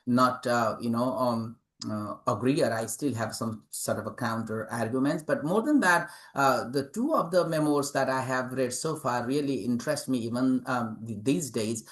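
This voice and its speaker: A man's voice: mid-range voice, normal speed, fairly bright tone. Hesitant man with a Nepali accent. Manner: hesitant, many fillers and repeated words. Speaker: interviewed author and professor. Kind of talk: interview answers.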